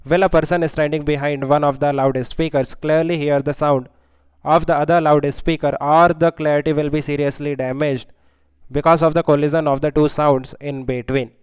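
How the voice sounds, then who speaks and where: Indian man, unhurried pace, dry close sound, instructional delivery, code-switching, short check-in questions. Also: on the high side, flat delivery, clean tone, dry recording. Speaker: physics teacher. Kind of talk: explanatory lesson, code-switching between Hindi and English.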